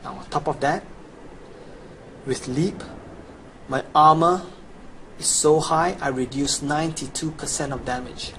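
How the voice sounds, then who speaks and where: A man's voice: a little high, fairly even intonation, unhurried, long pauses, fairly bright tone. A young adult man giving a scripted promotional voice-over as a gamer presenting his guide. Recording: clearly noisy home recording.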